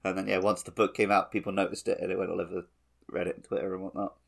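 A man's voice: deep voice, flat delivery, racing, vocal fry. A man co-hosting a video game podcast, talking in a casual conversational style. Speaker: podcast co-host.

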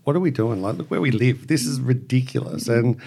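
A man's voice: mid-range voice, brisk, creaky, mellow, slightly rough. An Australian man hosting a podcast interview, chatty and jokey in a casual, upbeat way. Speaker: podcast host.

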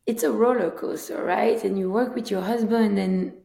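A woman with a Belgian accent speaks as a guest, telling stories in a casual, conversational way and acting out quotes. Her pitch is mid-range and the room echoes clearly around her. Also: fairly even intonation, moderate pace, warm tone. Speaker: guest, company founder. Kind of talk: casual interview storytelling. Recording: roomy, echoing sound.

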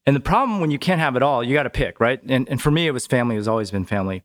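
A man talking as a podcast guest in a relaxed, chatty way, often tagging his points with a quick question. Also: mid-range voice. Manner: relaxed, chatty, tags sentences with "right?". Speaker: podcast guest, business owner. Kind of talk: casual conversational interview.

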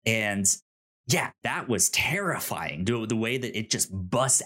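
A man co-hosting a podcast, casually retelling scenes in a halting way, repeating words and acting out dialogue. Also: lowish voice, animated, pushed and bright. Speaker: podcast co-host.

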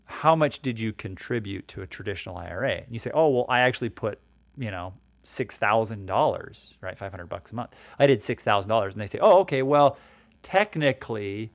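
A man's voice: fairly low pitch, animated, brisk, vocal fry, no room sound. A man in his forties explaining retirement savings and taxes in a conversational way, often checking in with "right?" and restarting sentences. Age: 40s. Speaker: financial educator.

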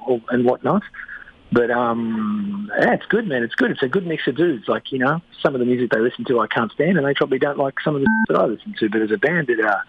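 A man's voice: mid-range voice, vocal fry, husky, lo-fi sound. A man with an Australian accent, a band's lead singer, chatting casually and joking in a radio interview. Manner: laid-back, joking, says "mate". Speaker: band lead singer, guest.

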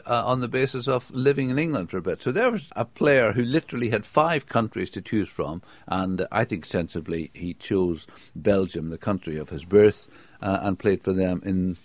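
Lively British football reporter, dry sound. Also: male voice, mid-range voice, no room sound. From United Kingdom. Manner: enthusiastic, with hesitations and restarts. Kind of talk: conversational report.

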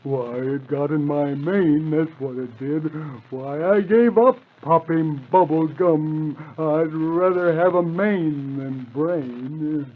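A man voicing a slow, good-natured, dim talking animal with a drawl in an old children's radio serial. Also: a little high. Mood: slow, sheepish. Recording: tinny, band-limited old radio recording.

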